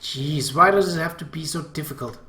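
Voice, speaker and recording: A man's voice: on the high side, fairly quick, pushed and bright. Man voicing a scolding, exasperated anime big sister. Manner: indignant, exasperated. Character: scolding, easily flustered older anime sister. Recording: roomy echo.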